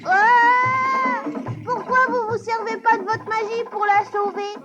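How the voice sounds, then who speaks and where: A woman's voice: very high voice, little pitch movement, takes it slowly, muffled recording, music in the background. A woman voicing a brash, teasing young boy in a dubbed cartoon. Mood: cheeky. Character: cheeky mischievous young boy.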